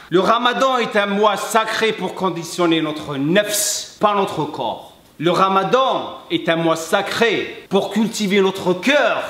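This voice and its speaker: A man, a French speaker, talking earnestly to camera as a fitness coach, emphatic and halting, slipping between French and Arabic. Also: high-pitched, a bit bright. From Algeria. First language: English. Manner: emphatic, halting non-native French with repeats. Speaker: fitness coach and video host. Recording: echoey room.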